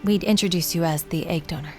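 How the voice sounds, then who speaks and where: A woman's voice: fairly low pitch, fast, soft background music, close to an everyday voice. A woman playing a wry, capable submersible pilot and mother in an audio drama. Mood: tender, hurt.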